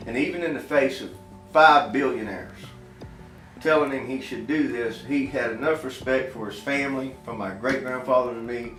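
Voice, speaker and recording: A man's voice: medium pitch, moderate pace, fairly bright tone. Folksy man in a roomy space. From Florida, United States. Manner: folksy, plain-spoken, emphatic with repetition. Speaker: inventor and farm irrigation worker, interview guest. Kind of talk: earnest interview talk. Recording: roomy, distant mic, faint music behind.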